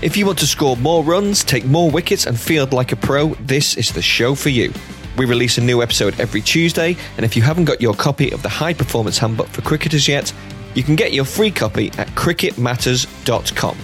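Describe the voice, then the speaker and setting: A man's voice: medium pitch, animated, a little fast, a bit bright, faint music behind. A man hosting a sports podcast, talking in an upbeat, chatty way with some fillers. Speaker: podcast host. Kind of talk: casual podcast chat.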